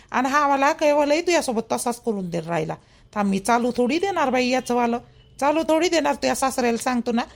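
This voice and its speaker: A woman's voice: high voice, creaky. A woman voicing a middle-aged, protective, gossipy mother-in-law in a village cartoon, emphatic and repetitive, with a Vidarbha Marathi accent. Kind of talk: rural family cartoon dialogue.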